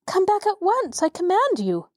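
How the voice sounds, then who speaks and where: A woman voicing a frightened young boy who shouts commands in a storybook reading. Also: huge pitch swings, normal speed, no room sound, clean studio sound, full character voice. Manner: high urgent shouting.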